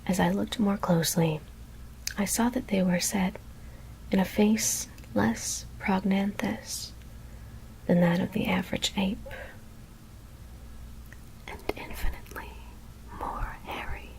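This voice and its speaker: Slow whispering woman reading horror. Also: medium pitch, steady tone, vocal fry, rough recording. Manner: whispering, hushed and deliberate. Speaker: ASMR storyteller. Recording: faint background noise.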